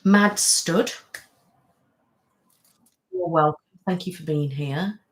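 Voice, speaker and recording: A woman's voice: low-pitched, takes it slowly. Chatty British woman host. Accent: British English. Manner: chatty, rambling, many fillers and restarts. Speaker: ASMR channel host. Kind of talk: casual live-stream chat reading viewer comments.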